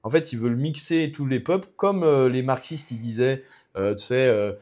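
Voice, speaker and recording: A young man from France talks casually as a podcast guest. His speech rambles, with plenty of restarts and filler sounds. His voice sits at an ordinary pitch for a man. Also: moderate pace, a little mellow. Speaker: guest, MMA fighter.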